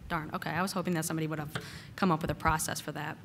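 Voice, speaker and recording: A woman giving a casual technical conference talk, slightly hoarse from a cold and using lots of "uh" and "um" fillers. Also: deep voice, level tone, rapid-fire, fairly bright tone, slight background noise. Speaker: conference speaker. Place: conference hall.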